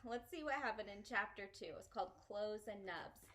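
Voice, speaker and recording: A woman's voice: medium pitch, brisk, a bit bright. A woman reading a children's book aloud expressively and putting questions to young listeners.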